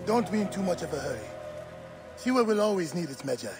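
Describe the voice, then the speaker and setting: A man playing a warm, teasing village protector and loyal friend in an ancient-world video game. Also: high-pitched, very animated, fast talker. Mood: genial, teasing. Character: genial male village protector, warrior's teasing old friend. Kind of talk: dramatic video game acting. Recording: music behind the voice.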